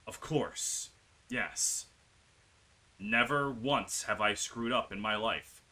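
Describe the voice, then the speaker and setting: A man hosting a game playthrough, commentating with exclamations and drawn-out words for emphasis. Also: on the low side, sharp tone. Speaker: gaming video host. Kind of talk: playthrough commentary, reading game dialogue aloud. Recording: music behind the voice. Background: game music.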